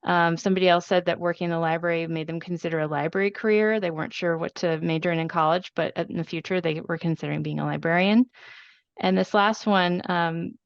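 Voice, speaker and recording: A woman's voice: on the low side, steady tone, quick pace, smooth, dry recording, dull audio. A woman presenting in a webinar, earnest and friendly as she shares results.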